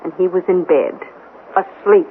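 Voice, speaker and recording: An actress playing a feisty, defensive middle-aged mother with a sharp-tongued, suspicious manner in a 1970s radio drama. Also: fairly low pitch, normal speed, natural voice. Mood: defensive, uneasy. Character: feisty, defensive mother who cleans offices at night. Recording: tinny, noisy old radio broadcast.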